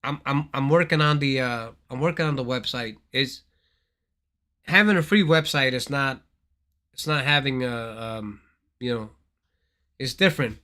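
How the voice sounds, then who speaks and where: A man's voice: mid-range voice, measured pace, pauses often, sharp tone. A man from Thailand hosting a casual gaming livestream, rambling and repeating his words as he talks straight to his viewers.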